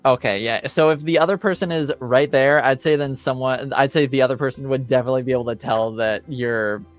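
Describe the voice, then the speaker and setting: Measured male game master over music. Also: mid-range voice, fairly even intonation. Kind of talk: casual rules explanation in tabletop game. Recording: music under the voice.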